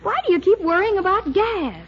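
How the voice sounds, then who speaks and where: An actress playing a sensible young American niece, calm and gently chiding, in 1940s radio comedy style. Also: female voice, extremely high pitch, a little fast. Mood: reassuring, amused. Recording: muffled, noisy 1940s radio broadcast.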